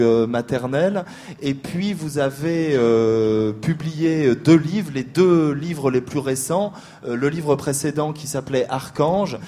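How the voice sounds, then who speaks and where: A man hosting a literary discussion, introducing guests and asking measured questions with frequent hesitations. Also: medium pitch, dark tone, slight background noise. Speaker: host.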